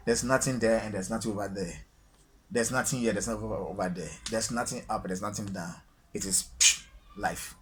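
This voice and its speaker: A man from Ghana with a Ghanaian accent, talking alone to his viewers in a halting, reflective way, full of fillers and repeated words. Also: on the low side, fairly even intonation, slight background noise. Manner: slow, reflective, repeats phrases for emphasis. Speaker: solo vlogger. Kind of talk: unscripted spiritual musing. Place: alone, lighting fading.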